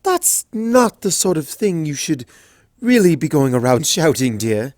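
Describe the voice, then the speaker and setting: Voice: mid-range voice, very animated, measured pace, a little mellow, no echo, clean studio sound, pitched above the performer's own voice. A reader voicing a refined, fussy unicorn seamstress mare in a storybook reading, prim and genteel. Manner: prim, genteel, says darling. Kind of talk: audiobook fanfic reading.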